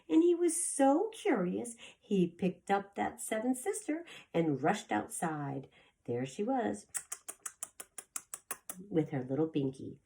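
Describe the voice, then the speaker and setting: Casting: female preschool teacher, theatrical picture-book reader, slow and highly animated. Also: creaky, slightly rough. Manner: theatrical, with gasps and asides to young listeners. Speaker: preschool teacher reading aloud. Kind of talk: expressive children's picture-book read-aloud.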